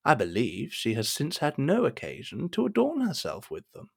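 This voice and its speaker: Man acting a scheming cardinal, very animated pitch. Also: vocal fry, heavily acted. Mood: deferential, insinuating. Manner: measured, formal, persuasive. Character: smooth, scheming cardinal, deferential royal minister. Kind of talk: period audio drama acting.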